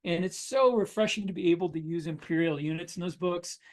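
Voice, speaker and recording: A man's voice: high-pitched, fairly quick. A man co-hosting a writing podcast, chatting casually and explaining things in a wry, plain-spoken way. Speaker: podcast co-host and science fiction writer. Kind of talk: casual shop-talk chat.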